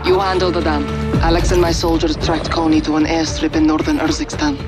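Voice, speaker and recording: An actor playing a resolute, businesslike military ally giving a briefing in a video game. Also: male voice, on the high side, level tone, a little fast, natural voice. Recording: music behind.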